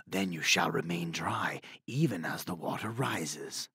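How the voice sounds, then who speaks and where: A man voicing a sly storybook fox with a calm, courteous and formal way of persuading. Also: low-pitched, unhurried, gravelly, husky, dry recording, clean studio sound, voice pushed down, exaggerated voice. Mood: calm, persuasive. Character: smooth-talking, calm, sly red fox.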